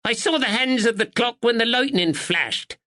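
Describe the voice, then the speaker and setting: A man voicing a sharp, relentless, bedridden elderly mother with a dialect. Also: very high voice, animated, normal speed, voice pushed up, full character voice. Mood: sharp, insistent. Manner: blunt, relentless, dialect "were".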